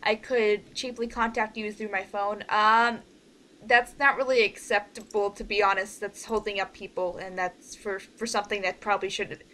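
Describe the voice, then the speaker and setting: A woman's voice: medium pitch, little pitch movement, normal speed, sharp tone. A young adult woman hosting a casual online group meeting, rambling and often restarting her sentences. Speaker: host leading an online cast meeting. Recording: noisy home mic with keyboard typing.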